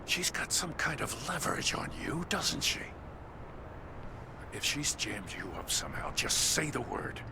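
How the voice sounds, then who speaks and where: An actor playing a gruff, principled older police chief in a video game, speaking bluntly and earnestly. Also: male voice, mid-range voice, big pitch swings, a little slow, background noise. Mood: concerned. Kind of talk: dramatic video game acting.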